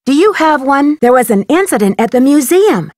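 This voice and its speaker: A young American sleuth for a mystery video game. Her voice is fairly high and very expressive, with polite, quick-witted curiosity. The sound is dry and close. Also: no echo. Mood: curious, friendly. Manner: inquisitive, bright, articulate.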